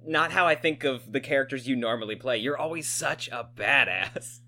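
A man's voice: mid-range voice, moderate pace, sharp tone. A male interviewer with an everyday mid-range voice asks questions in a loose, casual way. He talks like an eager fan and leans on fillers.

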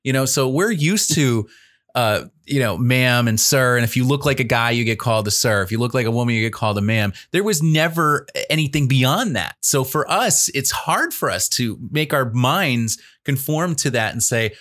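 A man's voice: mid-range voice, expressive, normal speed, a bit bright, dry recording, studio quality. An older man hosting a casual talk show, chatty and candid with plenty of fillers. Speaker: host.

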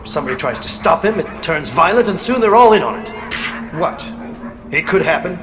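A man voices an earnest expedition member in a radio play. His voice sits slightly high as he explains his reasoning. The recording has a clear background hiss. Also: expressive, a little fast. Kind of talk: radio drama acting. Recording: audible background noise.

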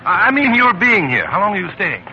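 An actor playing a brisk, bewildered gentleman, in 1940s radio drama style. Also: high-pitched, wildly swooping pitch, heavily acted. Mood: amazed, delighted. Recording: muffled, hissy 1940s radio broadcast.

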